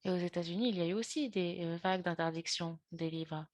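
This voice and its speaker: A woman delivers a lecture in an online webinar, her voice low-pitched and heard dry with no room sound. She talks haltingly, filling pauses and correcting herself mid-word as she works through her points. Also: no echo. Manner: halting, with frequent fillers and self-corrections. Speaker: webinar presenter. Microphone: video call.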